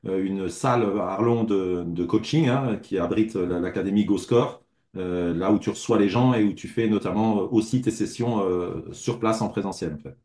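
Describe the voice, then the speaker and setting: A man's voice: fairly low pitch, normal speed, darkish tone. A man interviewing a client, hesitant, with many fillers and restarts. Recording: echoey room.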